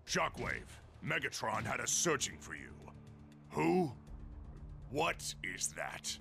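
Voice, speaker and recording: A brusque robot soldier leader for a video game. The voice is gravelly and hugely animated, authoritative in every phrase. Music plays behind it. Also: male voice, mid-range voice, slow pace, heavily acted. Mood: commanding. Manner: barking, authoritative. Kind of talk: video game character acting.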